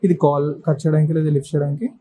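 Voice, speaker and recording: A man's voice: a little high, level tone, very fast, mellow. A man giving a casual hands-on tutorial, explaining step by step in short instructional phrases. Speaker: presenter of a how-to video.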